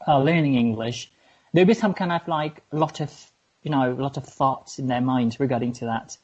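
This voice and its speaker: A woman's voice: extremely low pitch, moderate pace, a little mellow, slightly rough, highs cut off. A woman with an Indonesian accent, a co-host and former English mentor, chatting casually and often repeating words as she searches for phrasing. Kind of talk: casual podcast chat.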